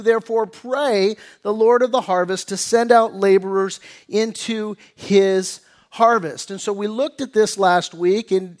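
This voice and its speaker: An American man preaching a sermon as pastor, reading scripture and growing animated and excited as he explains it. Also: sits high.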